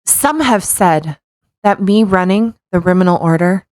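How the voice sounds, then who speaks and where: A woman's voice: on the low side, measured pace, a little mellow, no echo. Woman podcast co-host, close dry sound. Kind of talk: casual chat and reading a post aloud.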